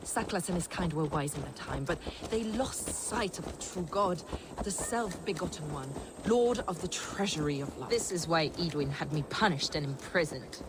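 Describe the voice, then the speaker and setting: A woman's voice: low voice, animated, moderate pace. Devout heretic scholar lady, formal n preachy, video game scene, noisy ambience behind. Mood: earnest, reverent. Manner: earnest, didactic, formal phrasing. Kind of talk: dramatic video game acting.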